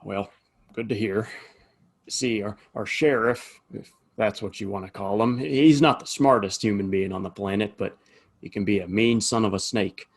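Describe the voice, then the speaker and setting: A man playing a weary Old West saloon keeper in an audio play, husky, hesitant and folksy. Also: fairly low pitch, normal speed. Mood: curious, folksy. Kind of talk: Western audio play acting.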